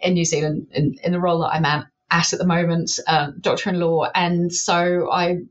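A woman with an English accent, a law academic talking through her research in a lively, self-deprecating way with frequent restarts. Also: on the low side, normal speed, lo-fi sound. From England. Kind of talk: conversational interview, explanatory.

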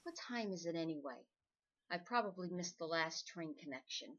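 A woman's voice: fairly low pitch, animated, moderate pace, fairly bright tone, dull audio. An actress playing an overworked, wry woman writer on deadline in an old-time radio drama, sounding exasperated.

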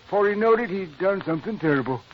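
An actor playing a folksy older henpecked neighbor with a rural American accent in a radio comedy. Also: male voice, high-pitched, lively intonation, quick pace. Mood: indignant reminiscing. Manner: folksy, rambling, yep-repeating. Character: folksy, henpecked old country neighbor man. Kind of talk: old-time radio sitcom acting. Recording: muffled, hissy old radio recording.

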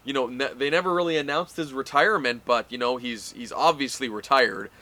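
Bright-voiced man recapping casually. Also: medium pitch, a little fast. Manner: rambling recaps with filler words. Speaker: podcast co-host. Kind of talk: casual wrestling recap chat.

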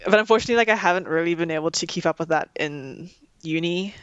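A young South African woman speaks casually into a close, dry mic, with no room sound. She fills her sentences with "like" and breaks into quick giggles, sounding relaxed and easygoing. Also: lowish voice, clear, resonant tone, no room sound, lo-fi sound. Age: young adult. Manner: chatty, many "like" fillers, giggly. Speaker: interviewee, engineering student. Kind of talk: casual interview chat.

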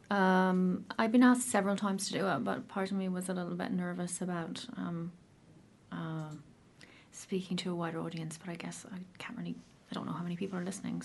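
Hesitant Irish woman with a gravelly voice. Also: fairly low pitch, slight background noise. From Ireland. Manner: hesitant, many ums and restarts. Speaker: guest speaker sharing her story. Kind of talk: personal recovery share, casual.

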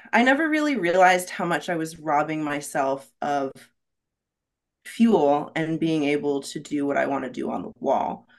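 Low-voiced woman, reflective and earnest. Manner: reflective and earnest, frequent "right?" tags. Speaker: podcast guest, rock climbing guide. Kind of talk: candid conversational interview.

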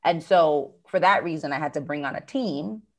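Low-voiced woman business owner. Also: moderate pace. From United States. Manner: thoughtful, with frequent fillers and restarts. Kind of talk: conversational interview.

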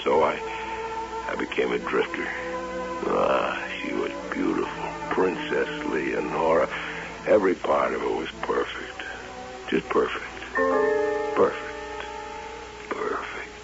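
A man's voice: rumbling low, huge pitch swings, slow, creaky, pauses often, heavily acted. An actor playing an obsessive male carnival doll-maker in a radio drama, brooding and dreamy. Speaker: carnival barker. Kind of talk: 1970s radio drama acting. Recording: dull old radio broadcast with music.

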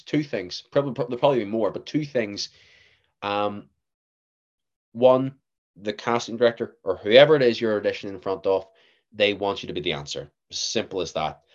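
Lively low-voiced Northern Irish man. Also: moderate pace, long pauses, lo-fi sound. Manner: lively, acts out what others said. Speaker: actor, podcast guest. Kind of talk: casual interview chat.

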